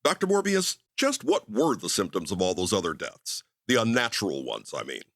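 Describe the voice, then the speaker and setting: Male actor as skeptical starship commander, brisk, formal, highly dynamic delivery, old radio sci-fi. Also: huge pitch swings, gravelly, a bit bright, dry recording, studio quality, exaggerated voice. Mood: probing. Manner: clipped, formal, questioning.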